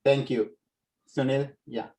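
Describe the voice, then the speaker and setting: A man with a Sri Lankan accent delivers a prepared speech over a video call. His voice sits at an average pitch, and he speaks as the founder addressing an online gathering. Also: fairly even intonation, takes it slowly, darkish tone. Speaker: foundation founder opening a webinar.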